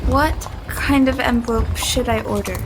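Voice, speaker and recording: Woman playing an anxious, high-voiced proper young lady. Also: moderate pace, background noise, close to an everyday voice. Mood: uncertain. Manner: hesitant, proper, wistful period phrasing. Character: earnest, anxious young woman displaced into another body. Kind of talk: period audio drama acting with first-person narration.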